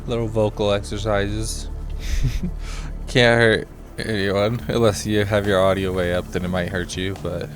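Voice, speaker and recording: A male streamer with a fairly low, slightly scratchy voice talks slowly through his game. He rambles and mutters his thoughts aloud in a casual way, with music playing clearly behind him. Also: little pitch movement. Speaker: small gaming streamer. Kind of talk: casual gameplay commentary, thinking aloud.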